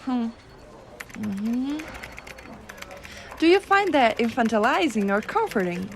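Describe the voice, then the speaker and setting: A woman playing a gentle, teasing giantess secretary in an ASMR roleplay, coaxing and cooing softly. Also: on the high side, slight background noise, exaggerated voice. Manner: soft coaxing, cooing, unhurried.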